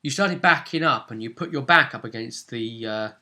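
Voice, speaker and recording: A man's voice: medium pitch, normal speed, pushed and bright. A man casually recapping a tabletop role-play session as game master, rambling along and often seeking agreement with tag questions.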